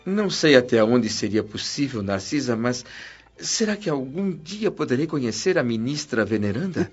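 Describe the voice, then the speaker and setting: A Brazilian actor playing an earnest, wondering man in the spirit world, narrating his own story in a reflective, expressive, literary way for a radio drama. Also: medium pitch, moderate pace, close to an everyday voice. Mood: awed. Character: spirit of a deceased husband and father, earnest, wondering newcomer. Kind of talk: radio drama acting and first-person narration. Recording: dull lo-fi radio drama over music.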